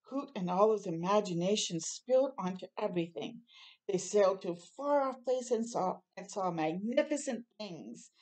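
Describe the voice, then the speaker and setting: A woman reading a children's storybook aloud expressively, adding small asides and dramatic, drawn-out, hushed phrases. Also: mid-range voice.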